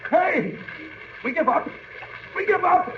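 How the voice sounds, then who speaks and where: A gallant, protective man of action in an old radio adventure serial. He gives orders and reassurance urgently, with very lively intonation. The vintage recording is dull and heavy with noise. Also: very high voice, takes it slowly, full character voice. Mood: urgent, alarmed. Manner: urgent, reassuring, take-charge delivery. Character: Bill, brave protective adventurer, grown man. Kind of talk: 1940s radio serial acting.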